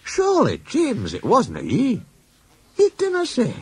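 A man's voice: very high-pitched, wildly swooping pitch, unhurried, darkish tone, slightly rough, pitched above the performer's own voice, heavily acted. A man voicing a cheeky, teasing male steam engine, in a storybook reading. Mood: sly teasing. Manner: sly, teasing.

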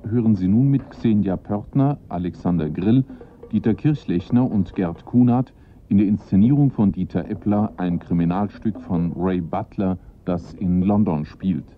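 A man working as a radio announcer, giving a scripted introduction to a radio drama. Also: sits low. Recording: muffled narrow band, faint music. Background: faint music.

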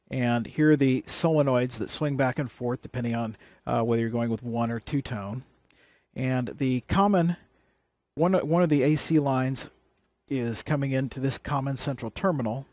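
A man's voice: medium pitch, moderate pace, darkish tone, dry recording. A man presenting a hands-on electronics how-to, explaining things plainly and step by step. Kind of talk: hands-on tutorial demonstration.

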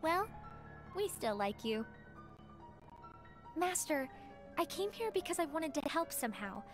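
A woman's voice: sits high, sing-song, measured pace, soft background music. An actress playing a sweet, teasing teenage girl in a video game cutscene.

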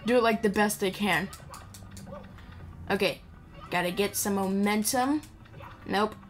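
A woman's voice: mid-range voice, measured pace. A woman giving casual gameplay commentary in a rambling, playful way, chatting to the game and its characters. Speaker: gaming video creator. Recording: game music behind the voice. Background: video game music.

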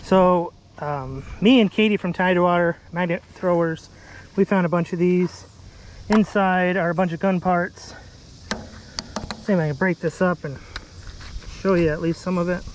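A fairly high-voiced man talks his way through a magnet fishing session on a bridge, easygoing and thinking out loud. Recorded outdoors on a head-mounted camera, with loud traffic and outdoor noise running underneath. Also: fairly even intonation, moderate pace, pauses often. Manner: easygoing, thinks aloud, unsure guesses. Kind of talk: casual narration while working. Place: outdoors on a bridge over water. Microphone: head-mounted action camera. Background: traffic and outdoor ambience.

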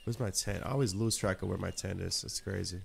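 A man's voice: low voice, fairly even intonation, quick pace, creaky, a little hiss or hum. A man with a stuffy nose from a cold, giving laid-back, slangy commentary while playing a video game. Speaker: gameplay streamer. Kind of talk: casual let's-play commentary.

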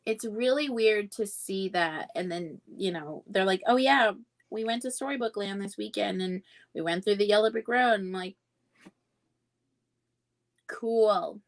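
Woman, thirties, book chat co-host: mid-pitched, informal, plain-spoken and easygoing, on a video call from home. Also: measured pace. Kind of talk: casual livestream chat.

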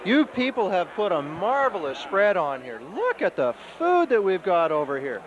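A man's voice: high voice, very animated, normal speed, muffled recording. A man hosting roving event coverage at a banquet, talking in a folksy, chatty and enthusiastic way on a handheld mic. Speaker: event reporter and host. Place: indoor banquet hall at a party. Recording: noisy camcorder audio at a party.

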